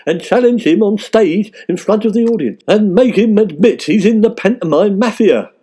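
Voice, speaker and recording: A man's voice: sits high, lively intonation, normal speed, dark tone, breathy edge. A man playing a pompous, grumbling, aggrieved comedian in a radio sitcom pastiche. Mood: conspiratorial. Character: pompous, aggrieved male comedian plotting in pantomime.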